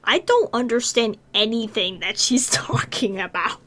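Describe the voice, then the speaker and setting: A woman's voice: fairly high pitch, lively intonation, measured pace, a bit bright. A woman giving casual, joking commentary while she plays a video game. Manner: informal, joking. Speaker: gaming streamer. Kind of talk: casual let's play commentary.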